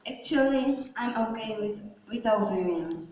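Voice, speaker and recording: A woman's voice: a little high, expressive, unhurried, darkish tone, muffled recording. A woman playing a grumbling, blunt former plowman turned duke in an amateur puppet show. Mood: frank, grumbling. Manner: irritable, blunt complaining. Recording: echoey hall, distant mic, background noise.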